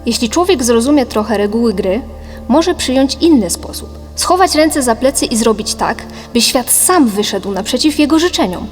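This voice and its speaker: A woman reading a scripted philosophical audiobook in a measured, didactic manner. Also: a little high, animated, lightly acted. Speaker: audiobook narrator. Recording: long reverb, music behind. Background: music.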